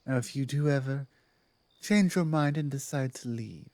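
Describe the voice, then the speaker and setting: Halting, low-key werewolf pack leader, played by a woman. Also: mellow, no echo. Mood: earnest, measured. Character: dominant but softening female werewolf pack leader, earnest, hesitant. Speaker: audio roleplay performer. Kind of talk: intimate ASMR roleplay acting.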